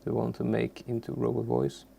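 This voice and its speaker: A man who is a non-native English speaker presents an unscripted software tutorial in a halting way, searching for words. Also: medium pitch, completely flat delivery, creaky, slight background noise. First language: not English.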